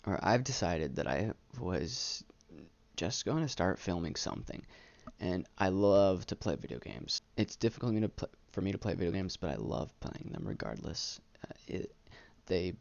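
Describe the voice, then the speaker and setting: A young adult man talking candidly about himself as a vlogger, halting and stuttering on words, earnest and self-deprecating. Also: low voice, expressive, vocal fry, no room sound, muffled recording. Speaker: YouTube vlogger. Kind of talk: candid personal monologue, casual chat. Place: small room indoors.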